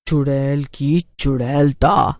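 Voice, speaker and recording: A woman's voice: low voice, noisy background, full character voice. A woman voicing a scary, mocking witch who taunts her prey in a Hindi cartoon horror comedy. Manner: cackling, taunting.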